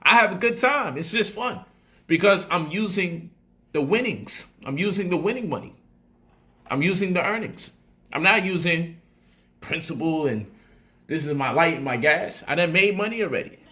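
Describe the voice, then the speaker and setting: A man talking through stock options in a loose, slangy livestream style, and his voice comes across bright and forceful. He repeats lines to drive a point home and gets lively and playful as he goes on. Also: high-pitched, normal speed. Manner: animated, slangy, repeats phrases for emphasis. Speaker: speaker explaining stock options to viewers. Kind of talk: casual livestream talk.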